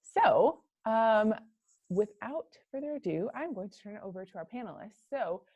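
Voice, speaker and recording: A woman's voice: medium pitch, lively intonation, moderate pace, a little mellow, no echo, lo-fi sound. A woman hosting a virtual career panel, talking in a friendly way with many fillers. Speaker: host, career center manager. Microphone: video call.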